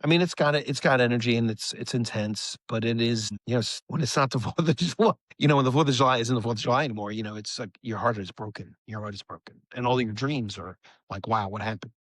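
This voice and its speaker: Male musician guest, mid-pitched voice, close dry mic. Casual interview talk, effusive, stop-start and full of fillers. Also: no echo. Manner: effusive, halting, filler-heavy enthusiasm.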